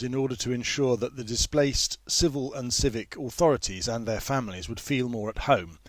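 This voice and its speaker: A man narrating a literary story in a measured, formal manner with precise phrasing. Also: mid-range voice, dry recording. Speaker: author reading own novel.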